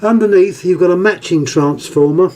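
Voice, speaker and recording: A British man giving a casual hands-on demonstration, speaking haltingly and pausing mid-phrase as he handles things. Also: sits high, dark tone. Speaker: vintage radio collector presenting an item. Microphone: handheld camera, close to the item.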